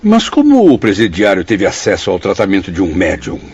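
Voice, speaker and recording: An actor with a Brazilian accent playing a curious, concerned spirit pupil with an inquiring, dramatic manner in a radio drama. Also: male voice, sits low, wildly swooping pitch, brisk, a little mellow, breathy edge, heavily acted. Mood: puzzled, curious. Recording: muffled lo-fi audio.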